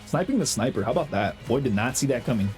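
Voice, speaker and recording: A young man with a slight cold, chatting excitedly over his own gameplay as the host of a gaming channel, full of slang and fillers. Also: medium pitch, fast talker, faint music behind. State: has a cold, slightly hoarse. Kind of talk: casual gameplay commentary.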